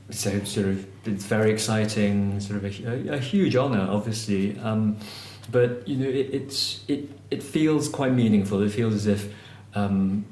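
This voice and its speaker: A man's voice: fairly low pitch, dark tone, slight background noise. A man from Malaysia answering interview questions about his novels, thoughtful and hesitant, with many ums and restarts. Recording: echoey room, faint ambience.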